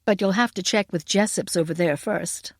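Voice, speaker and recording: A woman voicing a polite, relaxed American countryman with gentle amusement, in a narrated story. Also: on the low side, normal speed, no echo, clean studio sound, pitched below the performer's own voice. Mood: gentle, admiring.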